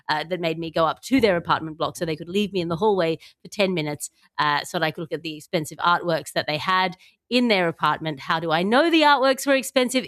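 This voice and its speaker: A woman with a lowish voice hosts a comedic panel show, recorded close and without much echo. She talks wryly, wandering into asides and putting on a slightly grand turn of phrase. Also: fairly bright tone. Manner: wry, digressive, mock-grandiose. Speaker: podcast host. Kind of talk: comedic panel podcast chat.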